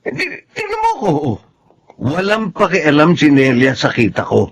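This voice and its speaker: A man's voice: on the high side, huge pitch swings, moderate pace, slightly rough, heavily acted. A man playing a hard-drinking, boastful family man with a Filipino accent in a radio drama. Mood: breezy, cocky.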